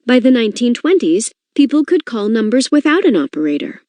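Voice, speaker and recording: A woman reading an educational book aloud in an expressive, clearly phrased way. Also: on the high side, a little fast, no echo. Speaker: reader of a children's nonfiction book. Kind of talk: educational read-aloud narration.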